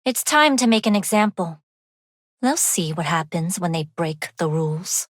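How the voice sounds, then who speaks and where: A woman voicing a refined young underworld heiress in a video game, speaking in a composed, measured and formal way. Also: fairly low pitch, animated, dry recording, clean studio sound. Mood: cool, resolute. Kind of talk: scripted game character voice lines.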